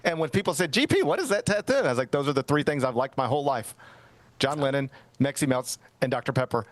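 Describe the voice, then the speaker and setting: A man's voice: medium pitch, animated, fast, no echo. A middle-aged man hosting a sports talk show, chatting casually and telling a rambling, self-mocking story. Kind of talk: casual radio-style chat.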